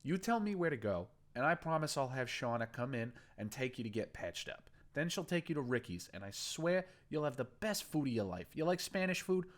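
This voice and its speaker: A man's voice: medium pitch, very animated, fairly quick, hardly any pauses, voice pushed up, lightly acted. A man reading a story aloud, voicing a furious, profane male gang boss who threatens and then coaxes in a raised, cursing voice. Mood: coaxing. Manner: swearing, menacing, raised and shouting. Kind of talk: audiobook character voice.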